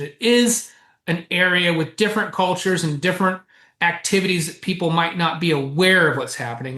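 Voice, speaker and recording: A man, a co-author guest in an interview, who explains at length in an animated way and chuckles often. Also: a little high. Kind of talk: casual interview chat. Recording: roomy echo.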